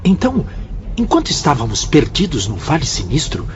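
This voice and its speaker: An astonished male spirit with a Brazilian Portuguese accent, asking surprised questions. His voice is fairly high and lively. The recording sounds muffled. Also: moderate pace, background noise.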